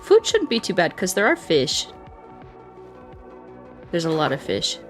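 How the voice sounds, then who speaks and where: A woman with a slightly low voice gives casual live game commentary. She is playful and scatterbrained, repeating words as she chats to her viewers, with music playing behind her. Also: huge pitch swings, a little slow. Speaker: video game streamer.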